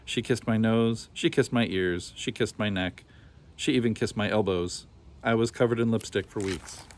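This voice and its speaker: A man's voice: fairly low pitch, moderate pace. Man reading a children's story, noisy recording. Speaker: storybook reader. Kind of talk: scripted children's story narration.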